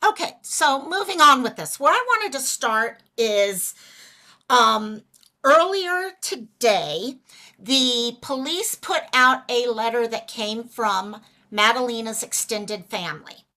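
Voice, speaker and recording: Woman doing a chatty livestream, bright voice, bit hoarse, says hi to viewers. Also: on the high side, expressive, takes it slowly. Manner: chatty, greets viewers by name. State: losing her voice. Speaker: livestream host. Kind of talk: casual livestream chat.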